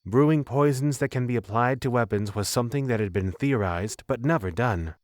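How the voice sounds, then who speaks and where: A man's voice: medium pitch, brisk, darkish tone, dry recording. Dry-recorded male story narrator.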